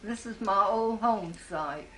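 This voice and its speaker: A woman's voice: mid-range voice, slow pace, low-quality recording. A woman, a little bashful and laughing as she introduces herself. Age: 70s. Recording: crowd chatter behind.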